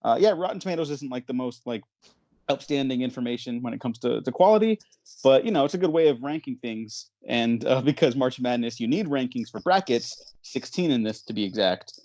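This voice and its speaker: A man hosts a movie podcast in a close, dry recording with an ordinary mid-range pitch. He is chatty and enthusiastic, rambling through his thoughts in an informal way. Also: expressive, fairly quick, darkish tone, no echo. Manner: chatty, rambling, enthusiastic, chuckles while talking. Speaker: podcast host. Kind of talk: casual movie podcast chat.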